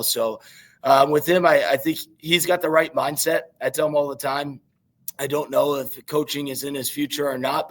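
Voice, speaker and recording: A man with a naturally raspy voice and a Midwestern American accent, a young high school football coach giving rambling interview answers full of fillers. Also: rough recording. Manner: rambling, many fillers and restarts.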